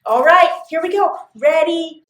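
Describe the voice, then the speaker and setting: Lady doing kids story time, slow and super expressive, kinda roomy echo. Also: very high voice. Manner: expressive, sing-song, asks the listeners questions. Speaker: library story time host and reader. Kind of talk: animated storytelling for young children.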